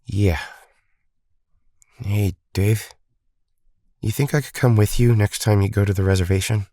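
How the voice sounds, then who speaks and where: An actor plays a reflective young hero with a low, close, dry voice. He is subdued and sincere in an audio drama. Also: fairly even intonation, measured pace, mellow, no room sound. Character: downcast, reflective young male hero at home.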